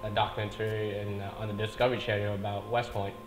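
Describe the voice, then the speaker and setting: Army officer man, low monotone, roomy recording with music underneath. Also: fast. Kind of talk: documentary interview.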